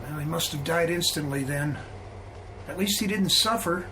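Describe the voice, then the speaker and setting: High-voiced, folksy train crewman. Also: normal speed, bright, forceful tone, a little hiss or hum, low-quality recording. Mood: shaken, regretful. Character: sympathetic, plain-spoken male train crewman at a death scene. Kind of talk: radio drama acting.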